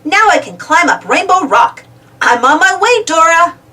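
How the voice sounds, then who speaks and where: A woman voicing an excited, friendly young male monkey in a children's storybook, eager and exclamatory. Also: very high voice, moderate pace, distant mic, heavily acted. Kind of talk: children's storybook read-aloud.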